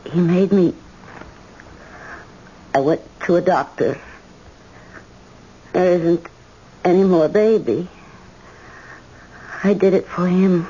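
An actress playing a fragile, lovesick young daughter in an old-time radio drama, speaking in a plaintive, halting way. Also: female voice, lowish voice, dark tone. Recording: muffled, noisy old radio recording.

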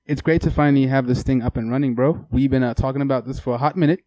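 A young South African-accented man talking easily as a podcast co-host. His voice is mellow and dark-toned, recorded dry and close. He drifts along with a relaxed, chuckling ease. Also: medium pitch, fast, no room sound. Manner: laid-back, laughs often, fills with "mm-hmm". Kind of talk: casual chat.

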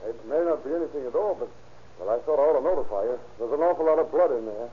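A man's voice: medium pitch, expressive, quick pace, close to an everyday voice. Male actor for a nervous, hesitant neighbor in old-time radio drama, dull noisy recording. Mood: uneasy. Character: hesitant, uneasy male next-door neighbor. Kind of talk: 1930s radio drama acting.

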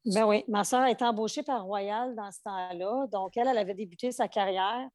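A woman's voice: medium pitch, a little fast, darkish tone, no echo, clean studio sound. A woman in her forties with a Québécois French accent, a flight attendant chatting in an interview, full of fillers and restarts. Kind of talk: casual interview chat.

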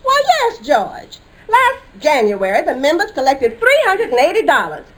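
An actress playing a trusting, chirpy wife in a 1950s radio sitcom, with an emphatic sing-song delivery. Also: female voice, high-pitched, wildly swooping pitch, normal speed. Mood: cheerful, insistent. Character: trusting, chirpy wife eager for lodge picnic.

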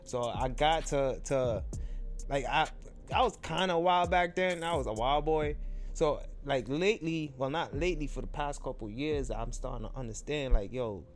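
A young man from Trinidad with an American accent, chatting relaxed as a podcast guest and restarting his sentences as he thinks. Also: medium pitch, normal speed, soft background music. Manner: relaxed, repeats words and restarts while thinking. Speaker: guest musician. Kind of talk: casual podcast chat.

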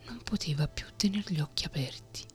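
A woman whispering a fairy tale in a soft ASMR reading. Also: sits low, lively intonation, brisk, soft background music. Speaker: storyteller.

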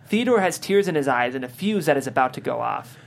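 A man's voice: medium pitch, lively intonation, fast talker, creaky. A man hosting a script read and narrating stage directions.

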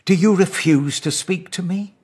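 A man's voice: sits high, moderate pace, a little mellow, breathy edge, pitched above the performer's own voice. A man voicing a commanding but uneasy Roman governor in a dramatised scripture audiobook, emphatic and questioning. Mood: indignant.

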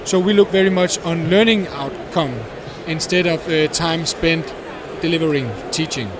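A man describing his startup in a conference interview, hesitant with many pauses and non-native phrasing. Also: fairly high pitch. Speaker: guest, startup founder. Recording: noisy conference floor.

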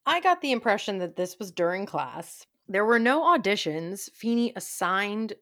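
A woman's voice: mid-range voice, very animated, moderate pace, fairly bright tone, no room sound, studio quality. A woman co-hosting a casual recap podcast, chatty and wry, with plenty of "like" fillers. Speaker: podcast co-host.

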